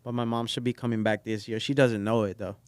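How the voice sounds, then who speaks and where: A man's voice: mid-range voice, fast, clean tone, dry recording. A young man, a barber whose first language is Portuguese, talking casually and rambling with lots of fillers in an interview. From Brazil. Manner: relaxed, rambling with many fillers. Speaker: barber guest.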